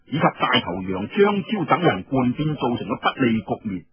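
Husky, animated Cantonese male storyteller. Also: a little high, no room sound. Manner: theatrical, colloquial, expressive. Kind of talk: dramatic Cantonese storytelling narration. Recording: dull lo-fi radio recording.

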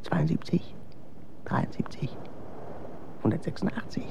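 An actor playing a lone, impatient yet dreamy man in a radio drama, muttering to himself in a breathy, coaxing ramble. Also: fairly high pitch, unhurried, whispered. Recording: loud windy sea ambience.

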